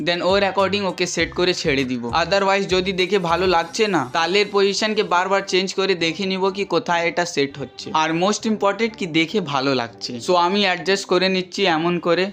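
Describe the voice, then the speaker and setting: A man's voice: high-pitched, fairly bright tone. A man giving a step-by-step screen tutorial as instructor, mixing English words and connectives into his talk.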